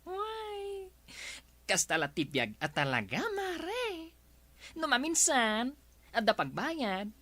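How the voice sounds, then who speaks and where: An actress with an Ilocano accent playing a chatty, nosy village friend in a radio comedy drama. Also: female voice, high voice, wildly swooping pitch, slow pace, fairly bright tone, no echo, full character voice. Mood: chatty teasing.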